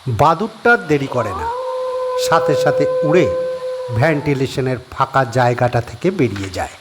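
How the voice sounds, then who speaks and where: A man narrates a scripted horror audio story, laying out each scene in a steady, descriptive way. His voice sits at an ordinary pitch for a man, and a faint hiss runs under the recording. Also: moderate pace.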